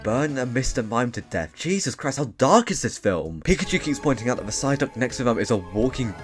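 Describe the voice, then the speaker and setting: A man's voice: mid-range voice, big pitch swings, fairly quick, faint music behind. A young man giving a sarcastic, scripted comedic film review as host, with some swearing. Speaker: video essay host.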